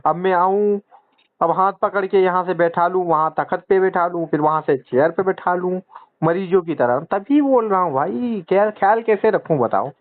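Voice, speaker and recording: A man's voice: high-pitched, moderate pace. Lecturing man on a tinny phone line. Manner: scolding, lecturing. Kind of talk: phone call argument.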